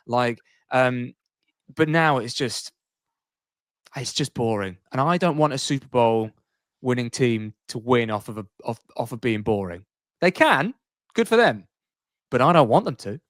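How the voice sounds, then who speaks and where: A man's voice: mid-range voice, no room sound, clean studio sound. A man co-hosting a podcast, casually debating sport and repeating himself to make his point. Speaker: podcast co-host.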